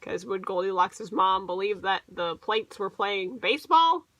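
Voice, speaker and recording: A woman teacher reading a picture book aloud to children, playfully expressive, stretching words for emphasis and adding asides. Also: mid-range voice, unhurried, no echo.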